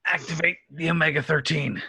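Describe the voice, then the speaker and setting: A man acts out a commanding starship captain in a sci-fi table read. His voice is forceful and urgent, pitched fairly high, with a gravelly rasp. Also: fairly quick, exaggerated voice. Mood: strained, commanding. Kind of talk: amateur table read over online call.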